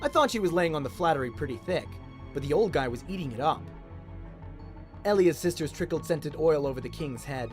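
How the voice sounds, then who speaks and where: Australian man narrating with lively pitch. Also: brisk, faint music behind. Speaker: audiobook narrator. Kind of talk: scripted dramatic story narration.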